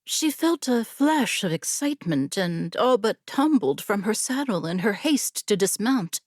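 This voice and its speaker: A woman narrating a scripted fantasy story as an audiobook reader, measured and deliberate. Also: mid-range voice, sing-song, dry recording, studio quality.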